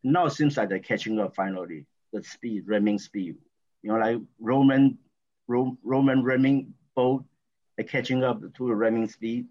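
A man from Taiwan with a Taiwanese accent, a guest talking in a halting, rambling way with lots of fillers and restarts. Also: medium pitch, darkish tone. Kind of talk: casual interview talk. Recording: dull lo-fi audio.

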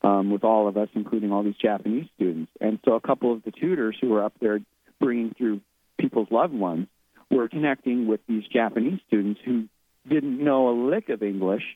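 A man heard through a tinny phone line speaks as a radio guest. His voice sits low and the sound is dry. He talks reflectively and repeats words as he feels his way forward. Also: fairly quick, slightly rough, no echo. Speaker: guest, author and medium. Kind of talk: radio interview.

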